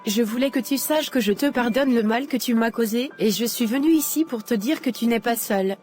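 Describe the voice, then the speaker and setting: Une femme qui double une jeune fille croyante, douce et sincère. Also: female voice, fairly high pitch, brisk, faint music behind, lightly acted. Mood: gentle. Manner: soft, earnest, forgiving. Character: young woman, daughter freed from captivity, gentle and devout.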